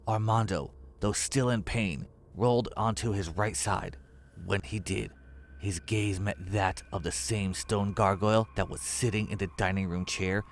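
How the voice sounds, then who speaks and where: Deep-voiced man narrating a horror story.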